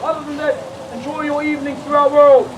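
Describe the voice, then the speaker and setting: A man's voice: very high-pitched, level tone, a little fast. A man giving a formal ceremonial welcome to a group of visitors as a cultural village guide. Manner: formal, declaiming. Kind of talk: ceremonial welcome address to tourists. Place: live cultural show venue. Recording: distant voice with loud background noise.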